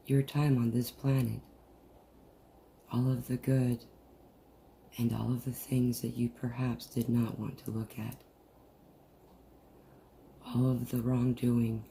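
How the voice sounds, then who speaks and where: A person leading a guided meditation in a soothing, deliberate manner with long pauses, recorded over a video call. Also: mid-range voice, vocal fry, mellow. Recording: noisy, muffled group video-call audio.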